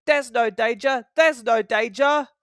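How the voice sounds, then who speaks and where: A man's voice: extremely high pitch, unhurried, fairly bright tone, smooth, no echo, clean studio sound, pitched above the performer's own voice. A man voicing a cheeky, defiant young tank engine in an exclamatory, teasing way. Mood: defiant glee.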